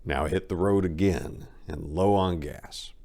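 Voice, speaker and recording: Deep-voiced man telling a story. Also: a little slow, warm tone. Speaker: audiobook narrator. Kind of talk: dramatic reading of a first-person road story.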